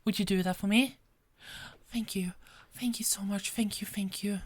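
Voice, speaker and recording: A woman's voice: mid-range voice, steady tone, moderate pace, natural voice. A woman playing a frazzled but friendly police detective in an ASMR roleplay, with a hesitant, stammering delivery that often repeats words. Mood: grateful. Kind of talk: ASMR roleplay, one-sided dialogue.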